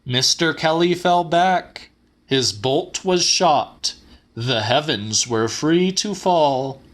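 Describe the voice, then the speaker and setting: A man's voice: fairly high pitch, takes it slowly. A man narrating a literary audiobook in a dramatic scripted reading style.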